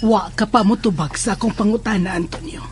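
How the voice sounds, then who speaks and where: A woman's voice: mid-range voice, fairly quick, natural voice. A woman playing a stern, commanding mother who works as a folk healer in an audio horror drama. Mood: stern, probing.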